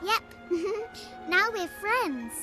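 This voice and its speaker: A woman voicing a tiny, cheerful, innocent little girl in an animated video game, with childlike drawn-out words. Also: very high-pitched, over music, heavily acted. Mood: gleeful.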